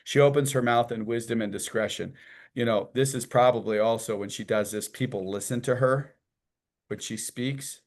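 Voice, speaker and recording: A man's voice: mid-range voice, moderate pace. A man teaching an informal church class, talking in a folksy, conversational way and acting out little quotes and images as he goes.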